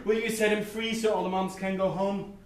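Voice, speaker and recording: A woman's voice: medium pitch, fairly quick, close to an everyday voice. A woman improvising an earnest young male gnome who also narrates, performed live on stage. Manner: earnest, lively, laughs often. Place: theatre stage. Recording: roomy live stage, distant mic.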